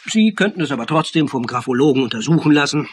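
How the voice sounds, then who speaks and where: A man's voice: a little high, animated, fast talker, husky. An actor in a radio crime play voicing a clever, persistent sleuth who lays out his theory in an assured, needling way. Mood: assured, explanatory. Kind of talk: German radio play dialogue.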